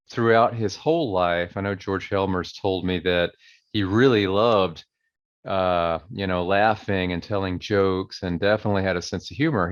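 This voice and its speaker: A man hosting a video channel, casually reading old newspaper articles aloud and commenting on them in a relaxed, conversational way with some fillers. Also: on the low side, dry recording. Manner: reads quoted passages slowly and emphatically. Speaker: channel host. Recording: dry, dull lo-fi audio.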